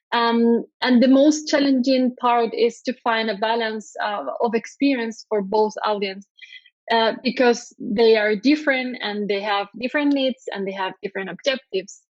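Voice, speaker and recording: Spanish-accented woman presenting, halting. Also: on the high side, no room sound, highs cut off. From Spain. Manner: hesitant, frequent ums and uhs. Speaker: conference speaker. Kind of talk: conference presentation.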